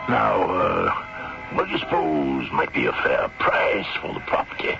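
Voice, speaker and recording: An actor in a radio drama playing a sly, greedy frontier schemer with a gravelly voice and deliberate, courtly phrasing. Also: male voice, deep voice, wildly swooping pitch, full character voice. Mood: falsely courteous. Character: scheming greedy frontier man, sly, smooth-talking and calculating. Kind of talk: old-time radio drama acting. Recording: muffled radio recording with music.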